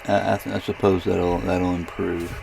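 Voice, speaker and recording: A man casually narrating a software demonstration as a radio hobbyist, thinking aloud in a hesitant, rambling way with a gravelly voice. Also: sits low, little pitch movement, a little slow, background noise.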